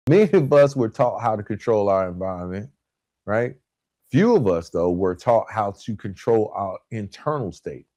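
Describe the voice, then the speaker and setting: A man's voice: on the low side, animated, moderate pace, warm tone, no room sound. A man hosting a podcast, giving a motivational coaching monologue, repeating key points for emphasis and often checking in with his listeners. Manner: emphatic repetition, checks in with "right?" and "guys". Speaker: podcast host.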